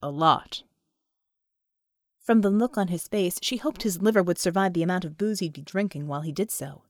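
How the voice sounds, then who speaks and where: A woman narrating an audiobook story in an expressive, scripted storytelling style. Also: on the low side, fast, dry recording, clean studio sound.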